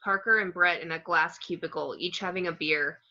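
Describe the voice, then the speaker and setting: A woman's voice: lowish voice, steady tone, normal speed, sharp tone. A woman reading out screenplay scene descriptions as the narrator of a script table read. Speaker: reader of the action description. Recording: dull, lo-fi sound.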